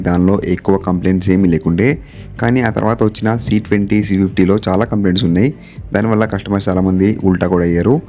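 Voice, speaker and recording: A man's voice: low voice, mellow. A man with a Telugu accent narrating a product review vlog, speaking directly and mixing English terms into his talk. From India. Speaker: vlogger.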